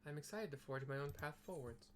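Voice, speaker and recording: A man's voice: on the high side, lively intonation, fast, fairly bright tone, slight background noise. A man voicing an earnest, somewhat intimidated young adventurer in a fantasy game, formal and hesitant. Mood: earnest, nervous.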